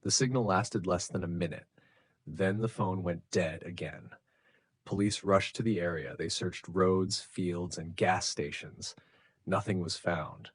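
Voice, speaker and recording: A man narrating a scripted true crime story in a measured, suspenseful way, with short deliberate sentences. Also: sits low, husky, no room sound. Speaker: narrator of true crime stories for English learners.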